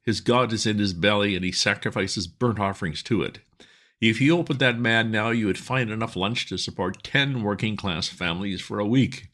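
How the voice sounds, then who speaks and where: A man voicing a bearded street-corner agitator who rants at a crowd in a loud, hectoring, declamatory voice. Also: lowish voice, moderate pace, pitched above the performer's own voice, natural voice.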